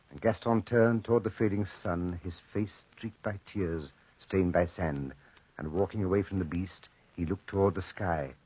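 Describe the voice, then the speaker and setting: A man narrating a dark adventure tale as a solemn storyteller, dramatic and portentous, in 1940s radio drama style. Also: low-pitched, slightly rough. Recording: muffled 1940s radio recording.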